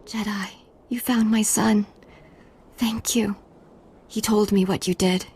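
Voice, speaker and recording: A woman voicing a grateful, gentle alien mother in a video game, husky, soft and sincere. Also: medium pitch, little pitch movement, slow, soft background music. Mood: grateful, solemn. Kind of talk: video game cutscene acting.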